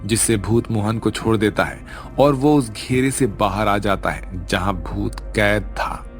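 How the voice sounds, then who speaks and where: Guy narrating a cartoon story, lowish voice, music behind. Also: a little slow. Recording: music under the voice.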